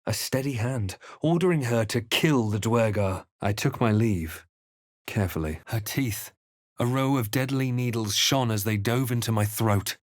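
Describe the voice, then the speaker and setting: A man playing a composed, brooding fantasy adventurer in a video game, telling events in a measured, dramatic way. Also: medium pitch, no echo, studio quality, natural voice. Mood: grave. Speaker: player character voice. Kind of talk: scripted game narration and character lines.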